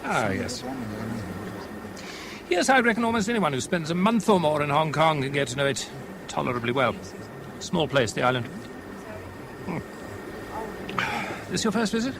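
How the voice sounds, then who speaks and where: An affable, worldly British airline man in a seventies radio drama. His voice sits high and swings freely as he chats in a breezy, joking way. There is clear noise behind him on the old broadcast. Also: very animated, normal speed, pauses often. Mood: casual, friendly. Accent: British English. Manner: breezy, jocular, colloquial. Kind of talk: 1970s BBC radio drama acting.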